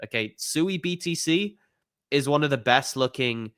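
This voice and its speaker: A man with the flu walks through crypto charts in a relaxed, chatty monologue, tossing in "you know" and "okay?" as he goes. His voice stays smooth and resonant despite the illness. The sound is dry and close, with no room echo. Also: medium pitch, measured pace. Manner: conversational, filler-heavy, "you know" and "okay?" tags. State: has the flu, voice a bit off. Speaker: crypto channel host. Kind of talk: casual chart analysis monologue.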